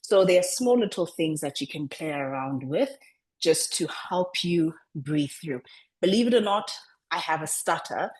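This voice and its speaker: A woman coaching public speaking over a video call, talking in a deliberately lowered register and often repeating words as she restarts. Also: expressive, darkish tone. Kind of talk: online coaching session.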